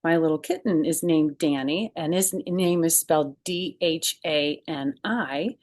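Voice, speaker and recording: A woman's voice: sits low, unhurried, a little mellow. A woman hosting a children's storytime, reading a picture book aloud expressively and adding chatty, informal explanations.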